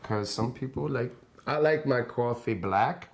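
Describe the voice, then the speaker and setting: A man, an artist guest, chatting in a relaxed, easygoing way. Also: mid-range voice, unhurried. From Dominican heritage. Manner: relaxed, easygoing, laughs readily. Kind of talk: casual interview chat.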